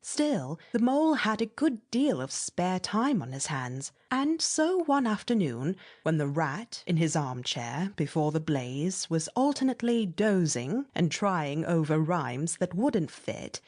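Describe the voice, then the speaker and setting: A woman narrating classic literary fiction as a dramatic audiobook reading, expressive and measured, with theatrical emphasis. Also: on the low side.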